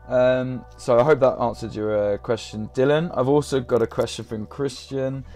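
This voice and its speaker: A young man, chatting casually on a live stream, slightly croaky from a sore throat, hesitating often with ums and uhs. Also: medium pitch, fairly even intonation, normal speed, warm tone, soft background music. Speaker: fantasy football streamer.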